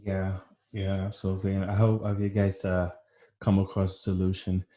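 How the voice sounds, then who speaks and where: A man's voice: sits low, completely flat delivery, moderate pace, mellow, reverberant. A man hosting an interview, asking polite questions and giving brief affirmations. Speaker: host. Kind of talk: conversational interview.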